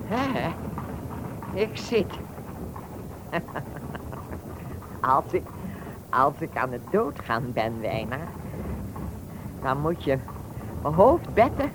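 Radio drama: bitter, imperious old lady of means, low and slow with big swings in pitch. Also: background noise, full character voice. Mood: scornful, gloating. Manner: imperious, halting, emphatic. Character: vindictive, rich old noblewoman, haughty and bitter. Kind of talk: Dutch radio play acting.

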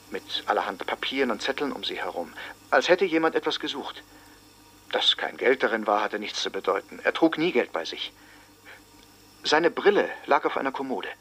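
An actor playing a sober small-town schoolteacher in a radio drama, giving grave, deliberate witness testimony with heavy pauses. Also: male voice, mid-range voice, pushed and bright, heavily acted. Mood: sober, tense.

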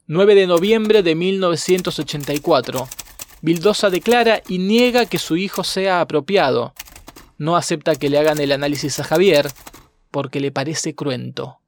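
A man's voice: a little high, normal speed, slight background noise. A man reading scripted documentary narration in a formal, factual manner. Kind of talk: scripted narration of dated events.

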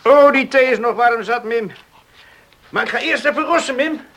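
An actor playing an easygoing, evasive young farm son, eager to placate, in a Dutch radio play. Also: male voice, extremely high pitch, normal speed, fairly bright tone. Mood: breezy. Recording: noisy old radio play recording.

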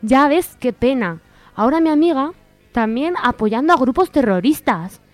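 A woman's voice: high-pitched, expressive, normal speed, no echo, soft background music. A woman playing a naive, indignant posh young woman in a parody advice letter, with affected, melodramatic talk. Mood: snobbish, dismayed.

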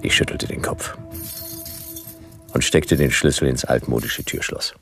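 An actor playing a laconic, world-weary, befuddled private detective, narrating a hard-boiled radio drama in clipped, deadpan sentences. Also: male voice, a bass voice, measured pace, creaky, whispered, heavily acted. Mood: groggy, deadpan. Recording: music behind the voice.

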